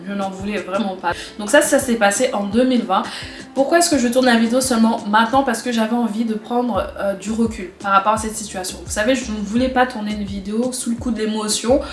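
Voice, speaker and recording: A woman's voice: on the high side, quick pace, faint music behind. A young woman with a cold, talking casually to camera in a chatty way and repeating phrases for emphasis. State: has a cold, stuffy. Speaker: vlogger. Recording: echoey room recording.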